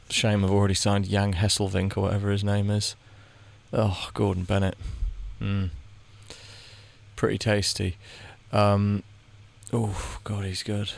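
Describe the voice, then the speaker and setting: A man with a low voice and a gravelly edge talks through a game as he plays it. He rambles and mutters his reactions out loud, often stopping to restart a sentence. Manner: thinks aloud, muttering reactions to the screen. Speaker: gaming video creator. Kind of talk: casual commentary while playing a game.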